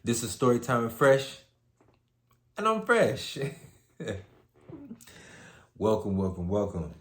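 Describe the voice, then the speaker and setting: A man hosting a story time, with a laid-back, slangy manner, moving between casual chat and expressive read-aloud. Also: mid-range voice, takes it slowly. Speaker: host reading a story aloud.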